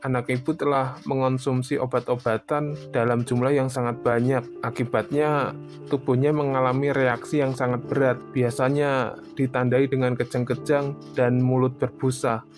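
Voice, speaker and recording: Man playing calm formal doctor, mid-pitched. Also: normal speed, music in the background, close to an everyday voice. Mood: grave, explaining. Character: calm sympathetic male doctor, formal and consoling. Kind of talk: animated cartoon drama dialogue.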